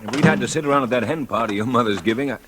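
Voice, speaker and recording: A man's voice: medium pitch, rapid-fire, natural voice. An actor playing a genial, folksy father chatting with neighbors in an old radio commercial sketch. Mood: easygoing, joking. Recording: noisy old radio recording.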